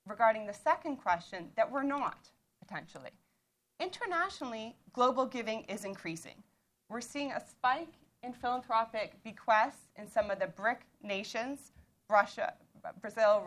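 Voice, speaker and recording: A woman with a Canadian accent talks through her research to a panel audience. She explains steadily and methodically, sometimes doubling back to restart a phrase. Her voice sits at an ordinary middle pitch. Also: fairly bright tone. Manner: measured, explanatory, with occasional restarts. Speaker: author and panel speaker. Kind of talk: lecture-style talk.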